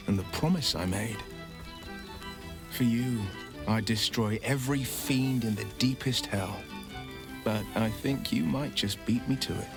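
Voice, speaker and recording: An actor playing a tender, devoted male lover in a fantasy video game, speaking in an intimate, romantic way. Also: fairly low pitch. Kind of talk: video game cutscene acting.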